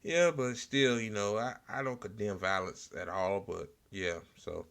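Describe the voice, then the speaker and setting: A man hosting an informal political talk show, speaking in a blunt, sarcastic, profanity-heavy way with halting repetition. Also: on the low side, gravelly, fairly bright tone. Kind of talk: informal political rant with commentary.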